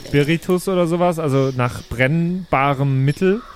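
A man's voice: a little high, moderate pace. A man playing in an improvised tabletop role-playing game, chatting casually and speaking for his character. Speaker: tabletop role-play player. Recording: clear background noise, chirping night ambience.

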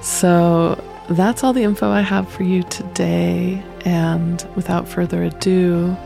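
A woman's voice: on the low side, fairly even intonation, takes it slowly, creaky, mellow. A woman announcer giving casual show notes before the episode starts. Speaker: podcast announcer. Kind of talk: podcast episode outro and lead-in. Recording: voice over background music.

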